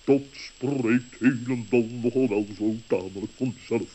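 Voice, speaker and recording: A man's voice: mid-range voice, measured pace, dark tone, slightly rough. A man voicing a pompous, wordy owl in an old children's radio play. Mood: solemn. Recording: dull muffled old radio recording.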